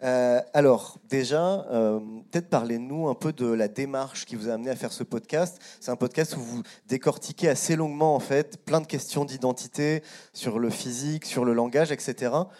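A man hosting a radio show who introduces student guests, friendly, with frequent pauses and hesitations. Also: medium pitch, mellow. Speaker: radio host.

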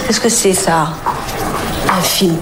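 A woman's voice: fairly low pitch, takes it slowly. An actress playing a nagging woman who scolds a relative for watching films all day, heard in a film clip with household noise. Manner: scolding, insistent.

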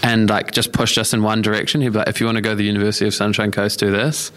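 A man's voice: on the low side, little pitch movement, very fast, a bit bright, slight background noise. A young man with a New Zealand accent giving casual, reflective answers as an interview guest, in an informal and hedging way. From Australia and New Zealand. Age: young adult. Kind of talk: casual chat.

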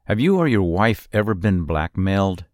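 A man's voice: low voice, sing-song, moderate pace, darkish tone, dry recording, clean studio sound. An actor playing a matter-of-fact male police officer in an audio drama. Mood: businesslike.

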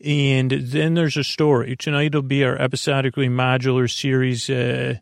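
Gravelly man rambling easygoing. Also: medium pitch, little pitch movement, moderate pace, dry recording, clean studio sound. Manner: meandering, stumbles over words; easygoing, companionable. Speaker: sleep podcast host. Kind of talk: casual rambling monologue.

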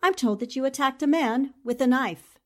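A woman's voice: high-pitched, normal speed, darkish tone, lightly acted. A woman playing a determined, shrewd newspaperwoman sleuth, questioning formally in a 1940s-style radio drama. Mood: probing.

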